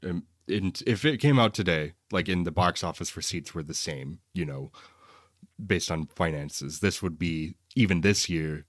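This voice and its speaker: A man's voice: deep voice, normal speed, no echo, studio quality. A man co-hosting a podcast, casually talking through a film review in a conversational, opinionated way. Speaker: podcast co-host.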